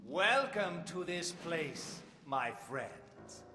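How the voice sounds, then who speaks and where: A man's voice: a little high, big pitch swings, very slow, pushed and bright, slight background noise, full character voice. A man playing a courteous, fanatical doomsday cult leader in a video game, ceremonious and unctuous. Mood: serene, welcoming. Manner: unctuous, ceremonious, over-polite. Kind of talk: theatrical video game voice acting. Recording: echoey hall reverb over game music.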